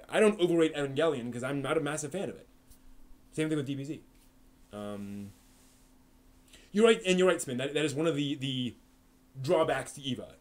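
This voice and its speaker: Male stream host, casual off-the-cuff chat, full of ums and self-corrections, mid-range voice. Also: mid-range voice. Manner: rambling, frequent fillers and restarts. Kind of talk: casual live-stream chat answering viewer questions.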